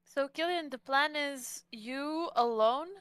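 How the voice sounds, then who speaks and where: A woman's voice: sits high, slow, a bit bright. A woman playing a tabletop roleplaying game, talking casually through plans with the group. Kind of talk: casual in-game planning chat.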